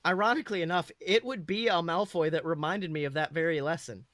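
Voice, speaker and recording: A man plays an eleven-year-old boy wizard telling his own story. The voice is high and bright, with a dry, close sound. He is chatty, proud and excitable, often correcting himself mid-thought. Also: brisk, no room sound, close to an everyday voice. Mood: regretful. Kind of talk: first-person dramatic storytelling.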